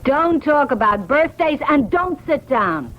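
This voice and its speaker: An actress playing a sarcastic, suspicious, scolding working-class New York wife in a radio drama. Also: female voice, sits high, very animated, unhurried. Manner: scolding, sarcastic, rhetorical questions. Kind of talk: 1970s radio drama acting. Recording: dull vintage radio recording.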